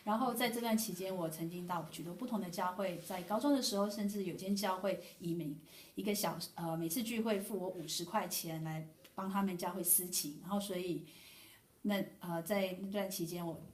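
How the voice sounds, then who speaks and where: A woman interpreting a sermon testimony sentence by sentence in a brisk, matter-of-fact way. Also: on the low side, a little hiss or hum. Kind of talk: consecutive church interpretation. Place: church hall. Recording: echoey room from far off.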